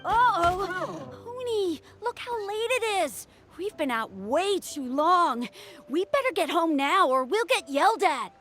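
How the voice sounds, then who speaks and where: A woman voicing a bossy, eager young village child in a video game, very expressive. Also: extremely high pitch, slow, in a large hall, faint music behind, full character voice. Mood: worried.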